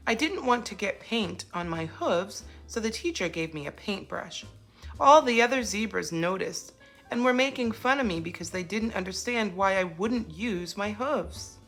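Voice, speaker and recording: A woman's voice: medium pitch, animated, a little slow, a bit bright, faint music behind. A woman voicing an upset, sensitive young boy zebra in an earnest, plaintive storybook telling. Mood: troubled.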